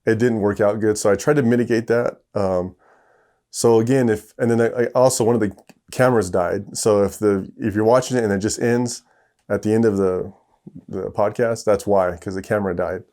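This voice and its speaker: A young adult man hosting his own podcast from home, rambling through an intro with lots of fillers and restarts and poking fun at himself. His voice sits fairly low and sounds dark and mellow. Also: fairly even intonation, normal speed. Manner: rambling, self-deprecating, many fillers and restarts. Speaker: podcast host. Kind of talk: casual podcast talk. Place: home, planes passing overhead.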